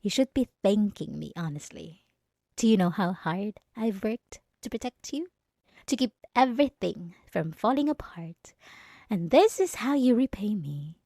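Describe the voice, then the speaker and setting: A woman's voice: mid-range voice, lively intonation, unhurried, gravelly, dry recording, studio quality. A woman playing a jealous, possessive young female bully in an intimate ASMR roleplay, menacing and taunting as she blackmails her crush. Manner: menacing, taunting, swinging between soft and agitated.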